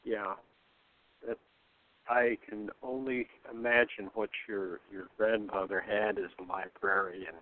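A man chatting casually in a halting way. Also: on the low side, fairly even intonation. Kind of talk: casual chat. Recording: narrow phone-like call audio.

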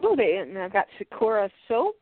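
A woman's voice: medium pitch, very animated, no echo. A woman with a stuffed-up nose chatting casually while reviewing beauty products and reading package text aloud, often restarting her sentences. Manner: chatty, halting restarts, light laughs. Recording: tinny phone-like line, dry.

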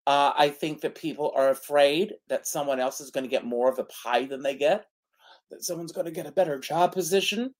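Halting man in his sixties. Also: fairly high pitch. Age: 60s. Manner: halting, lots of "uh" fillers. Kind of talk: casual podcast chat.

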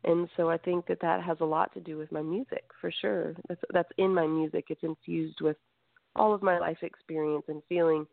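A woman's voice: low voice, fairly even intonation, normal speed, creaky, dry recording. A woman born in Greece, a musician guest in a radio interview, talking reflectively with many fillers over a phone line.

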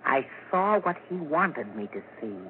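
A man's voice: fairly high pitch, big pitch swings, unhurried. An actor playing a sly, bitter, sinister man who hates everyone, theatrical and mocking, in a 1940s radio drama. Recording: scratchy, tinny 1940s radio recording.

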